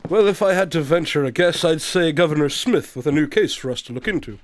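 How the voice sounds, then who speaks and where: A man's voice: on the high side, lively intonation, quick pace, lightly acted. A man playing a fussy, smug, clever detective in a comic radio drama, speaking in a pompous, precise manner.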